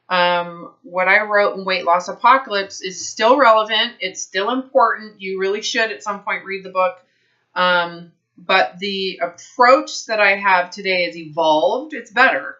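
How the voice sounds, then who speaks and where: A woman's voice: medium pitch, bright, forceful tone. A woman health advisor leading a consultation on the phone, asking direct, probing questions. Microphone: phone held to mouth. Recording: dull lo-fi phone line.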